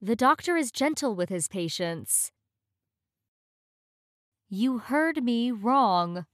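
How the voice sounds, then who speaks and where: A woman reading short English practice phrases in a clear, measured way, each one said twice. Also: on the high side, lively intonation, no room sound, clean studio sound.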